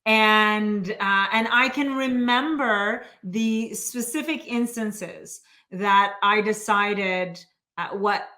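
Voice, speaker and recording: A woman raised in Canada, a financial planner answering interview questions in a lively way, laughing often and using many fillers. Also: medium pitch. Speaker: guest, financial planner and author.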